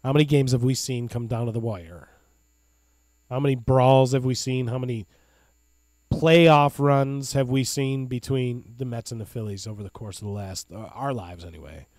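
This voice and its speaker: A man's voice: medium pitch, normal speed, darkish tone, no echo. A man, co-hosting a casual baseball podcast and talking up local beer and bands with gusto. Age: 30s. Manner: enthusiastic, hype-man plugs. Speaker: podcast co-host. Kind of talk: casual sports podcast chat.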